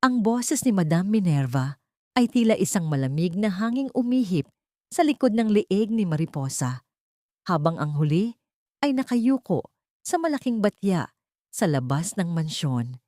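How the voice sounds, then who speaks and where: A woman narrating a dramatic romance audiobook in a measured, solemn storytelling manner. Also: medium pitch, big pitch swings, warm tone, dry recording, studio quality.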